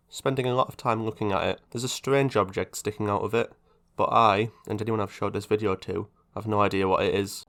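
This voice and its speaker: A man with a fairly low voice narrates an explainer video. His delivery is scripted but conversational, with casual asides. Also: fairly bright tone.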